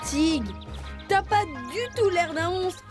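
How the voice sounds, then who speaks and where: A woman's voice: extremely high pitch, lively intonation, takes it slowly, over music, full character voice. A woman voicing an earnest, friendly, playful young animal cub in a French cartoon dub. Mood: amused, friendly.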